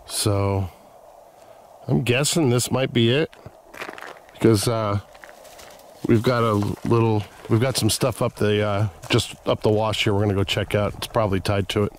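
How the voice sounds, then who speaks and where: A man narrating casually as he explores an old desert site outdoors, thinking aloud with pauses, recorded on a camera mic. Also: on the low side, steady tone, slight background noise. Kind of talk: casual narration while walking.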